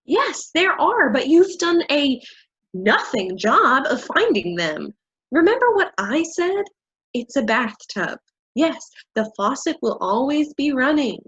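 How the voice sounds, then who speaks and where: An exacting woman in charge played by an actress delivers scornful lectures in a staged drama. Her voice is high, with emphatic, wide-swinging intonation, on a slightly dull recording. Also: very animated, slow pace, a bit bright, exaggerated voice. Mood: stern. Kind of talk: staged play reading.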